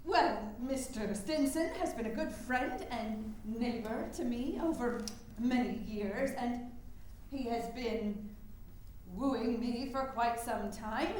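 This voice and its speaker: In a very echoey room, a woman acts out a proud nineteenth-century spinster suffragist on stage. Her voice sits fairly high and her delivery is prim, clipped and defensive, with faint noise behind it. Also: slow, darkish tone. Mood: proud, indignant. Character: brisk, evasive middle-aged 19th-century suffragist, proud spinster. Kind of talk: staged reading, theatrical period dialogue.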